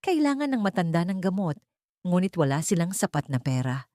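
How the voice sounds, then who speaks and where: A woman narrates a dramatic audiobook story from a script. Her voice is very low for a woman and dark, mellow in tone. The recording is close and very dry. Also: very animated, a little fast, dry recording.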